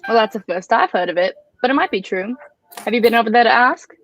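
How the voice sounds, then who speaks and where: A woman's voice: fairly high pitch, fast, faint music behind, lightly acted, poor audio. A woman playing a friendly, gossipy pub keeper, slangy and chatty, in a radio drama. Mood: hearty, welcoming. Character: friendly, gossipy working-class pub keeper, former carriage driver. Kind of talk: 1940s radio drama acting.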